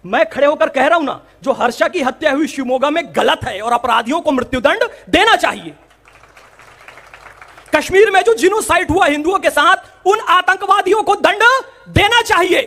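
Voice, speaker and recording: A man's voice: extremely high pitch, animated, normal speed, bright, forceful tone. A man delivers an impassioned public address. He speaks emphatically, repeating key phrases and putting rhetorical questions to his listeners. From India.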